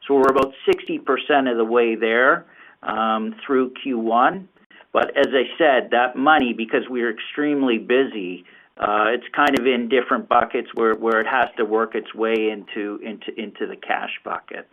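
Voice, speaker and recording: A man speaking as a finance executive answering questions on an earnings call, explaining haltingly and repeating words. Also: mid-range voice. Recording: phone-like, narrow conference call line.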